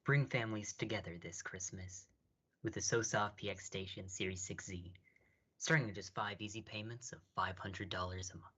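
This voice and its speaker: A man's voice: fairly low pitch, fairly even intonation, normal speed, a bit bright, breathy edge, highs cut off. A man playing a salesy, emphatic commercial announcer in a parody radio advertisement. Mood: hyping. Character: hyped-up commercial announcer selling a game console.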